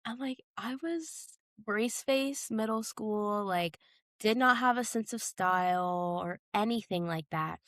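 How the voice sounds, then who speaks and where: A woman's voice: mid-range voice, slow pace, fairly bright tone, dry recording, clean studio sound. A woman co-hosting a podcast in casual, nostalgic chat, with slangy and emphatic phrasing. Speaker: podcast co-host.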